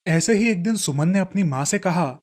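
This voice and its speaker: Higher-pitched man narrating a story. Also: animated, normal speed. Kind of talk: scripted Hindi children's story narration. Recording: faint music under the voice. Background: soft background music.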